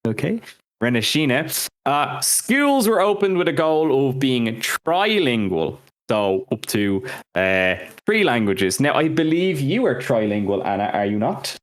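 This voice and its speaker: A man's voice: mid-range voice, sing-song, a little slow. A man co-hosting a music history podcast, talking casually through scripted notes and joking about his own mispronunciations. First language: Irish and English speaker. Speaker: podcast co-host.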